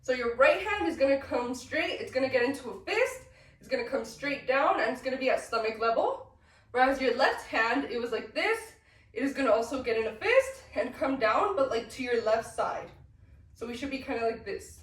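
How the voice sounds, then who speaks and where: A woman's voice: high voice, expressive, moderate pace. A young woman with a cold, sniffling as she casually teaches dance steps and counts the beats aloud. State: sick, sniffly. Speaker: dance tutorial instructor. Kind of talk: casual step-by-step dance instruction. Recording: echoey room, mic at a distance.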